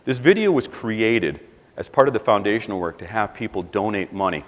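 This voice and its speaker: A man's voice: fairly low pitch, lively intonation, brisk. A man speaking informally and earnestly to college staff, with fillers and restarts. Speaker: college administrator addressing staff. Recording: long hall reverb.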